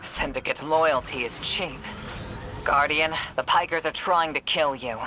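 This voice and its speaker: An actor playing a steady, wary mission handler who briefs a soldier in a dry, serious way in a video game. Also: male voice, fairly high pitch, measured pace, background noise, lightly acted. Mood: alert.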